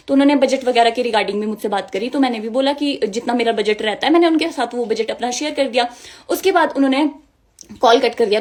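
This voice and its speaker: A young woman, giving an indignant, emphatic personal account straight to her viewers. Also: fairly high pitch. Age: young adult. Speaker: music video model.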